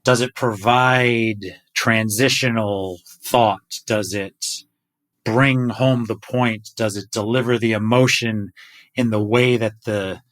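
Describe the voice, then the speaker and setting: A man's voice: lowish voice, steady tone, slow, a bit bright. Thoughtful man podcast host. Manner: thoughtful, asks long questions. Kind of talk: casual chat.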